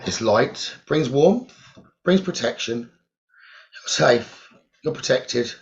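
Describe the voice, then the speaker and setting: A man of middle pitch leads a guided meditation, slow and soothing, repeating phrases and pausing often. The recording sounds dull and lo-fi. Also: expressive, vocal fry. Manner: slow, soothing, repetitive instructions with long pauses.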